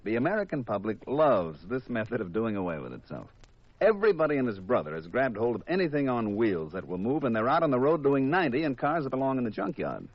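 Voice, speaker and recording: Animated, cynical insurance man, old radio. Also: mid-range voice, fast talker, no echo. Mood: exasperated, sardonic. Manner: exasperated lecturing. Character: cynical, long-winded insurance company investigator. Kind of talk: 1950s radio drama acting. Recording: muffled vintage 1950s radio recording.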